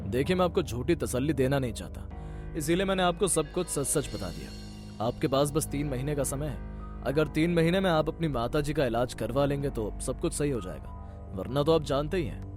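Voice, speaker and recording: A man's voice: on the high side, expressive, music in the background, lightly acted. Man as a grave, formal doctor. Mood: frank warning. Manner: measured, blunt, formal. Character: grave, frank male hospital doctor.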